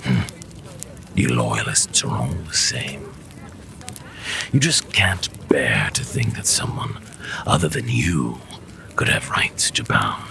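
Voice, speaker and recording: A man's voice: extremely low pitch, animated, takes it slowly, long pauses, bright, forceful tone, in a whisper, heavily acted. A man playing a proud, defiant, power-hungry tyrant in a fantasy audio drama, with a growling, sneering, imperious delivery. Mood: scornful, bitter. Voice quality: gravelly, growling.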